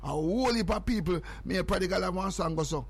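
A man's voice: high-pitched, fast talker, breathy edge. A man with a Jamaican accent hosting a morning radio show, repeating phrases and numbers over and over to hype his listeners. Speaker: radio host.

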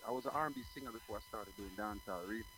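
A man's voice: lowish voice, huge pitch swings, very fast. A middle-aged man from Jamaica, a guest singer talking casually in an interview, rambling with many restarts.

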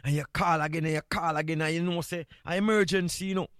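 A Jamaican man talks casually as a morning radio host, his voice sitting a little high. He is playful and candid, often repeating a phrase to drive it home. The sound is dry, with very little room on it. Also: fairly even intonation, fast talker, a bit bright, no echo.

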